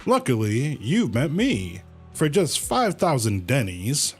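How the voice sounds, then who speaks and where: A man's voice: medium pitch, wildly swooping pitch, slow, husky, faint music behind, voice pushed up, heavily acted. A man voicing a pushy, grandiose fake fortune teller from a video game, wheedling and pompous. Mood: wheedling, ominous.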